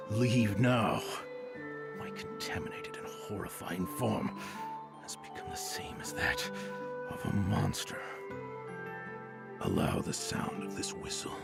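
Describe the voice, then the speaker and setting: Deep, gravelly, weary guardian monster. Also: male voice, full character voice. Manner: slow, solemn, halting delivery. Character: weary, self-sacrificing male guardian turned monster, waning consciousness. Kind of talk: dramatic video game monologue.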